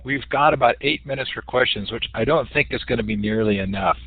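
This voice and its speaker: A man moderating the question session of an online talk, giving clear and friendly instructions. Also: mid-range voice, brisk, no echo. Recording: tinny online call audio.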